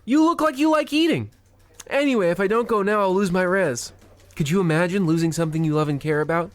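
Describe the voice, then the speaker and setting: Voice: mid-range voice, quick pace, natural voice. A performer in an old-time radio comedy playing a callous, self-absorbed banker who talks in a pompous, patronizing, sarcastic way. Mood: breezy, oblivious.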